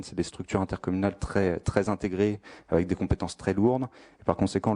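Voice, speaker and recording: Guy with a lowish soft mellow voice, explaining study figures carefully, formal talk. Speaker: presenter of a study. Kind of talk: formal spoken presentation of statistics.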